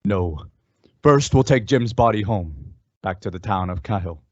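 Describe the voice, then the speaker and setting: A teenage man playing an earnest, measured masked cowboy hero in an old-time western radio drama. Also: low voice, lively intonation, no room sound. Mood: solemn, resolved. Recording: dull, dry lo-fi radio recording.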